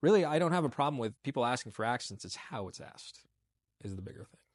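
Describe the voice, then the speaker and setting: A man's voice: mid-range voice, big pitch swings, fast talker, no echo, clean studio sound. A man who once worked in casting, reflecting thoughtfully and searching for words. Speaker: former casting professional. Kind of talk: interview commentary.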